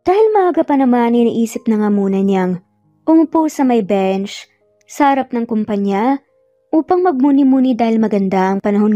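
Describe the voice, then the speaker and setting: A woman's voice: a little high, warm tone, no echo, muffled recording. A woman with a Filipino accent reading a scripted romance story as its narrator. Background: music.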